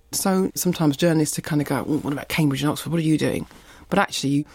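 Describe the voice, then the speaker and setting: A woman's voice: low voice, no room sound. A middle-aged woman, from Barbados and raised in East London, speaking as an interview guest and telling reflective stories about her childhood. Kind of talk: reflective conversational storytelling.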